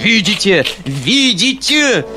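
Brief: shrill hysterical hypocrite, high and screeching,. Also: male voice, unhurried, music in the background. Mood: hysterical fury. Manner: shrieking, hysterical ranting. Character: shrill self-righteous hypocrite man, shrieking tyrant.

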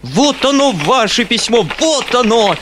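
Brief: shrill hysterical hypocrite, high and screeching,. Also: male voice, a little slow, background noise. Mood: hysterical fury. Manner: shrieking, hysterical ranting. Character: shrill self-righteous hypocrite man, shrieking tyrant.

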